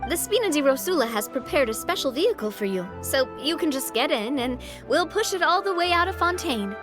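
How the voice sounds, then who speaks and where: A woman's voice: high-pitched, very animated, a little fast. An actress playing a cheerful, generous young female leader in a video game, breezy and sociable with a take-charge manner. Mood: brisk, playful. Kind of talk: scripted video game acting. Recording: music behind the voice.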